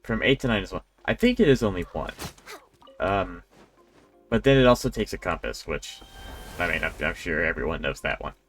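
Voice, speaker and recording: A man's voice: low voice, big pitch swings, moderate pace, fairly bright tone. A man doing casual live gameplay commentary as a streamer, thinking aloud as he plays. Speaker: game streamer. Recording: game music faintly behind. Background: faint video game music.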